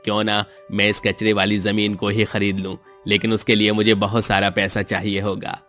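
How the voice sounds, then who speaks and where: A poor village father played by a male storyteller speaks in a low voice, earnest and kind-hearted. He belongs to a dramatised moral tale, with music playing underneath. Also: little pitch movement, normal speed, fairly bright tone, lightly acted. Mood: thoughtful.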